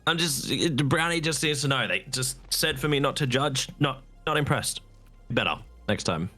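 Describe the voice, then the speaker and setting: A man's voice: mid-range voice, animated, normal speed, bright, forceful tone, faint music behind. A man chatting casually with a friend while they play a game, in a dry, teasing manner. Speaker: streaming co-player. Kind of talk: casual chat while gaming.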